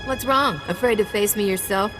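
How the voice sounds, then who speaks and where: An actress playing a cocky, wisecracking smuggler captain in a video game, sardonic and confident. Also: female voice, mid-range voice, normal speed, close to an everyday voice. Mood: defiant. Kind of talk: video game cutscene acting. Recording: long reverb, faint music.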